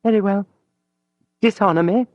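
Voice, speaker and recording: A man's voice: high voice, steady tone, normal speed, a little mellow, dry recording, voice pushed up, close to an everyday voice. A man voicing a passionate young noblewoman. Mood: yielding. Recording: dull dry studio audio.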